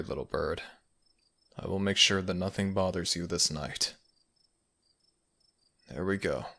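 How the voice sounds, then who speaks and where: A man voicing a possessive, protective male fox spirit in an intimate ASMR audio roleplay, brooding and gravelly, with old-fashioned formal speech. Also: low voice, fairly bright tone, full character voice. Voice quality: gravelly, breathy at times.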